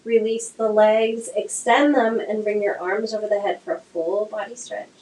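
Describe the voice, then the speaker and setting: A woman teaching a slow yoga class with gentle, soothing cues, her voice a little nasal from a cold. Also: medium pitch, fairly even intonation. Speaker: yoga instructor. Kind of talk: guided yoga class instruction. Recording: echoey room, faint noise.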